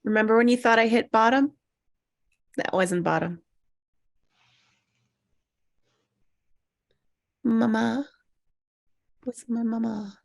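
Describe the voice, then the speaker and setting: A woman's voice: a little high, measured pace, gravelly, dry recording, clean studio sound. A woman playing a bitter, awkward, sarcastic single woman down on her luck at a comedy table read. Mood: dejected.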